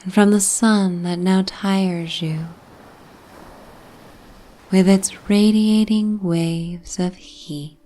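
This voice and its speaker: A woman narrating a bedtime sleep story in a soft, breathy, half-whispered, soothing ASMR manner. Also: mid-range voice, fairly even intonation, drawn-out words, pauses often.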